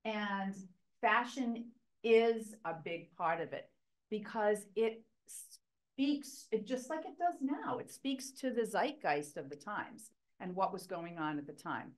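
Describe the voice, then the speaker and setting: A middle-aged woman fashion historian, starting a public lecture in a library meeting room, loud, chatty and enthusiastic, heard from a camera in the audience. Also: mid-range voice, lively intonation, takes it slowly. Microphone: far camera in the audience.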